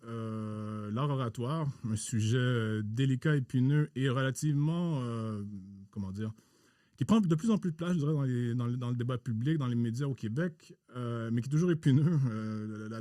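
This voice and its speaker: A man hosting a podcast interview in a formal, halting way with many pauses. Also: mid-range voice, mellow, dry recording, studio quality.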